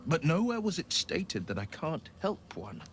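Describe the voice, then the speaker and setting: A man's voice: on the high side, sing-song, unhurried. A man playing an earnest, heroic devil hunter in a video game, speaking with solemn, dramatic feeling. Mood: grim, resolute. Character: earnest heroic male devil hunter bound by infernal pact. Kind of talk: video game character acting.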